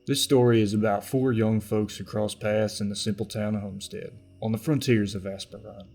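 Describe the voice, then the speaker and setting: Guy narrating a fantasy trailer, lowish voice, faint music under him. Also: fairly even intonation, fairly quick, creaky, a little mellow. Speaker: podcast narrator. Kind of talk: dramatic scripted trailer narration.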